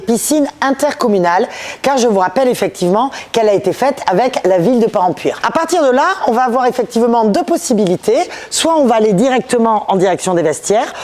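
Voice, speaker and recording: A woman's voice: fairly high pitch, mellow. A woman presenting a guided tour to viewers, explaining things directly as a local official. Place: on location at a new indoor swimming pool and outside it.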